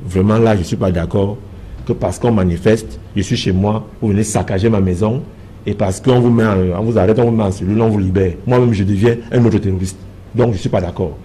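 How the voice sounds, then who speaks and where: A man's voice: lowish voice, fairly even intonation, brisk, warm tone, a little hiss or hum. A man with a Cameroonian French accent in a televised political debate, speaking emphatically and insistently and repeating phrases to drive his point home. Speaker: political party member as debate panelist.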